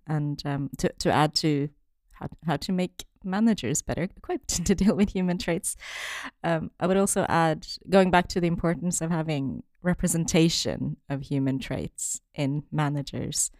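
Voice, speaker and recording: A woman's voice: sits low, no echo, studio quality. A woman from Norway in a casual workplace discussion, talking thoughtfully, correcting herself and laughing often.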